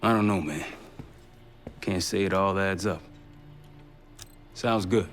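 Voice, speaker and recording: An actor playing a frank, streetwise American covert operative in a military game, talking colloquially. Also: male voice, sits low, slow pace, faint music behind. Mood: candid, wry. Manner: colloquial, plain-spoken. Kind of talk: cinematic military game acting.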